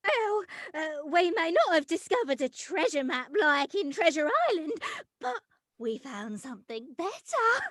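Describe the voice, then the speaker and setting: A plucky little cartoon captain acted out by a woman with a very high, bright voice. The delivery is theatrical and earnest, unhurried and swinging widely in pitch. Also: sing-song, exaggerated voice. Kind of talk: animation script table read.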